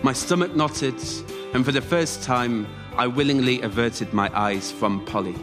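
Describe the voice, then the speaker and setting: A man performing a scripted autobiographical story live, delivered in measured short phrases. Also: medium pitch, over loud music. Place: radio theatre stage, before a live audience.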